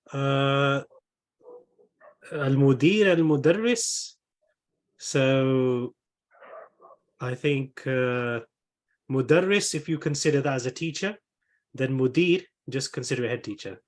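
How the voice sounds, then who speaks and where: A man on a video call calmly explaining two vocabulary words to a learner. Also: medium pitch, slow, long pauses.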